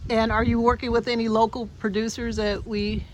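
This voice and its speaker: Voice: mid-range voice, flat delivery, fairly quick. A DJ hosting an interview, upbeat and casual with street slang.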